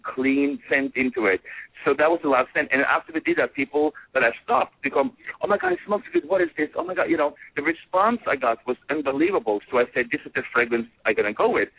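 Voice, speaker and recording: Guy telling his story over the phone, lots of ums and restarts, kinda husky, dry phone sound. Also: medium pitch, dry recording. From Norway. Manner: animated, many fillers and restarts. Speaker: guest, fragrance designer. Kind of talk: casual storytelling in an interview. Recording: tinny phone line.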